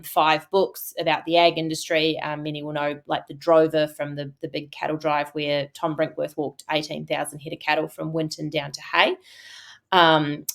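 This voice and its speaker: A woman with a Kiwi accent and a notably low, deep voice talks as a guest in a studio interview. She chats freely and keeps circling back with ums, restarts and you-knows. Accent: New Zealand. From New Zealand. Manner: chatty, frequent fillers and restarts. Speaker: podcast guest, agricultural photographer. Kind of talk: casual interview talk.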